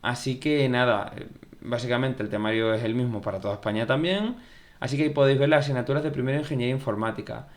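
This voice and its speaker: A man's voice: mid-range voice, quick pace. A young man gives casual, conversational advice about programming studies, often repeating phrases and acting out viewer questions. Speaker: YouTube tech educator. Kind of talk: casual advice talk to camera.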